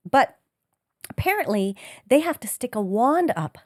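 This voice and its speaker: A woman co-hosting a podcast, telling an oversharing story in animated, casual chat. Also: a little high, unhurried, no room sound, clean studio sound. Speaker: podcast co-host.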